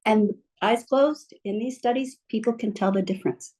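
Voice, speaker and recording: A woman giving a talk by video call, slowly guiding listeners through a calm, soothing reflection. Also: fairly high pitch, slightly rough. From mother from Mexico, bilingual. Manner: gentle, slow, soothing guidance. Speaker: guest speaker.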